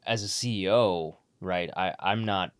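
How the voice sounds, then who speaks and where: A man with a fairly low voice hosts a podcast interview. He affirms his guest and fires off follow-up questions in quick bursts. The sound is dry and close to the mic. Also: clear, resonant tone, dry recording. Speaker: podcast host.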